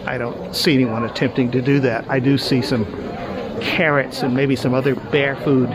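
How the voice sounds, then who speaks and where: A middle-aged man narrates a travel vlog in an unhurried, conversational tone, stumbling now and then as he describes what he sees. He records on a handheld camera outdoors. Clear crowd chatter surrounds his voice. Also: mid-range voice, noisy background. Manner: relaxed, conversational, with small hesitations on the spot. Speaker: travel show host. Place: outdoors in a busy tourist town. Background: crowd of tourists.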